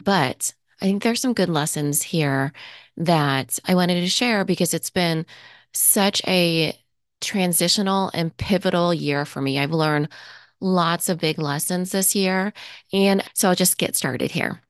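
Female podcast host, lower voice, a little stuffy with a cold, earnest and reflective, dry close sound. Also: a little slow, no echo. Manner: earnest, thoughtful, with gentle restarts. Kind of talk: reflective solo podcast talk.

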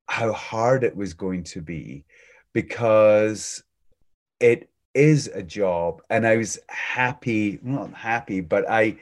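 Low-voiced man with a booming voice. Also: a little mellow. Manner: thoughtful, rambling with restarts. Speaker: preschool teacher. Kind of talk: casual interview chat.

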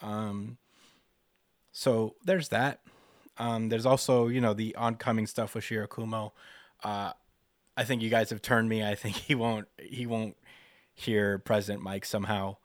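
Male podcast co-host, slightly deep, dry sound, casual and reflective, feels his way through arguments. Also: little pitch movement, a little slow, dry recording. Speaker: manga discussion podcast co-host. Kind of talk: casual analytical chat.

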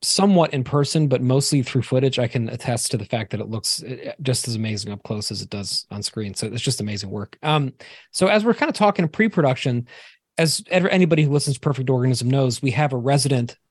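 A man's voice: medium pitch, lively intonation, fast, breathy edge, dry recording. A man co-hosting a podcast in casual roundtable conversation. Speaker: podcast co-host.

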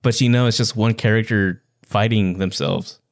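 Guy cohosting a book podcast, lowish voice, casual chatty talk. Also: fairly even intonation, moderate pace, vocal fry. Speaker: podcast co-host.

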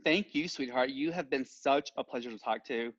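A man from West Texas, a guest in a casual chat, talking in a warm, rambling way with many restarts. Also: mid-range voice, moderate pace, lo-fi sound.

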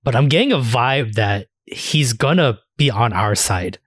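A man's voice: medium pitch, animated, unhurried, slightly rough, no room sound, studio quality. A man podcast host in casual discussion, rambling enthusiastically and swearing freely. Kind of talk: casual anime discussion chat.

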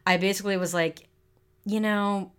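A woman's voice: mid-range voice, steady tone, normal speed, clear, resonant tone. A young woman co-hosting a podcast, chatting casually and recapping a TV episode, loose, jokey and swearing freely, a little drunk. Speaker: podcast co-host.

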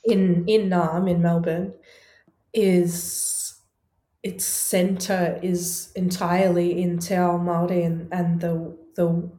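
A movement and sound artist speaking in a reflective conversation over a video call, measured and searching, with frequent ums. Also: female voice, on the low side, flat delivery, dark tone. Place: home in Melbourne. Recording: roomy video call audio.

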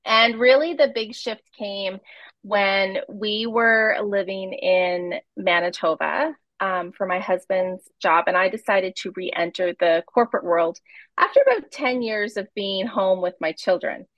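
A woman's voice: medium pitch, unhurried, no room sound, lo-fi sound. A Canadian woman in her forties, a home organizing coach, talking in a friendly, conversational way as an interview guest. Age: 40s.

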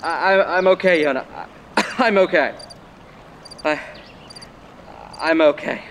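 A man's voice: on the high side, unhurried, fairly bright tone, a little hiss or hum. A man playing a bossy, defensive young older brother in a dramatic audio drama. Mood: shaken, apologetic. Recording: faint outdoor night ambience, crickets.

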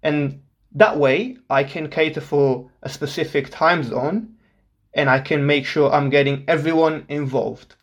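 A man's voice: a little high, fairly even intonation, measured pace. Earnest, upbeat young male podcast host. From Portugal. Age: 20s. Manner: enthusiastic, earnest. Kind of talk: scripted podcast introduction.

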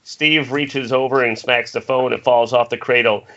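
Man, screenplay narration, bright tense tone, medium pitch, lo-fi internet call. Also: steady tone, normal speed. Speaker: reader of stage directions.

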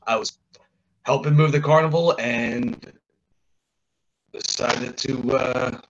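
Slow, terse man on a muffled line. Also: medium pitch, vocal fry, noisy background. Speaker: co-host.

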